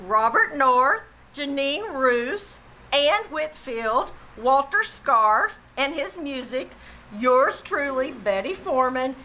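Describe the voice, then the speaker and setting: A woman's voice: high-pitched, lively intonation, slow pace, sharp tone, heavily acted, low-quality recording. A woman playing a 1940s radio show announcer, presenting in a formal scripted style on a theater stage. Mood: upbeat presentational. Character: bright-voiced female old-time radio show announcer. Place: live small theater stage.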